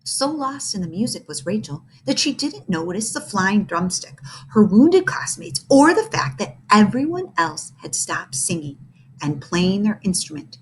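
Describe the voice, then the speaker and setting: A woman reading a children's book aloud, animated and acting out every character. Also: mid-range voice, unhurried, a little hiss or hum, poor audio. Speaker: reader.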